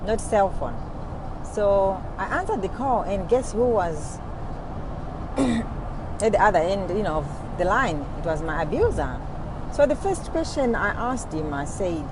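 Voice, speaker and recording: A woman's voice: medium pitch, animated, unhurried. A middle-aged woman with a Zimbabwean accent, casually telling a personal story to her vlog viewers from a moving car, with lots of "you know" asides. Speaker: vlogger. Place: inside a moving car on a highway. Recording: road noise from a moving car.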